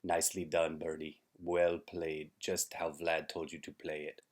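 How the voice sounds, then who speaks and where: A man's voice: rumbling low, little pitch movement, a little slow. A man voicing a sly, sarcastic Russian agent in broken English with a put-on Russian accent, in a satirical comedy monologue. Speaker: comedic character vlogger.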